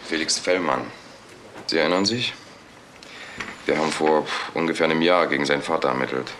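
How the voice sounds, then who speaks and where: Deep, fast-talking police assistant. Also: male voice, noisy background. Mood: matter-of-fact. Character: matter-of-fact male police assistant. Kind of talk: naturalistic German radio crime drama.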